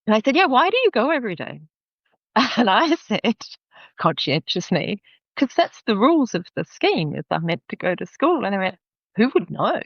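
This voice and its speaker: A woman's voice: a little high, very animated, normal speed, no room sound, highs cut off. A woman in her fifties with an Australian accent, a podcast guest telling stories chattily and often laughing. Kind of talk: podcast interview.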